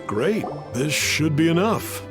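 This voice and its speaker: A man's voice: a little high, big pitch swings, slow pace. An actor playing a friendly, wistful male cook in a video game. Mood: helpful. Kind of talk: video game dialogue acting. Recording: background music under the voice.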